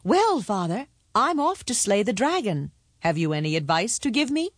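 A woman's voice: medium pitch, big pitch swings, moderate pace, no room sound, studio quality, voice pushed up. A woman voicing a confident, sceptical young man in a storybook tale, brash and self-assured. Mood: eager. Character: confident, sceptical young sons off to slay a dragon.

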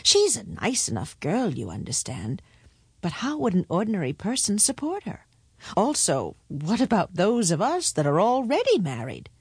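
A woman's voice: medium pitch, huge pitch swings, normal speed, a little mellow, husky, no room sound, full character voice. A woman voicing a gloomy, unimpressed old male cobbler, dry and grumbling, in a storybook tale. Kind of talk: storybook character voice.

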